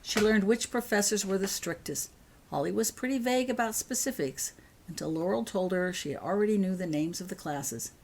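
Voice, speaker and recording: Female storyteller, slightly low voice, reading scripted fiction as an audiobook. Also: darkish tone. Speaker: author reading own story.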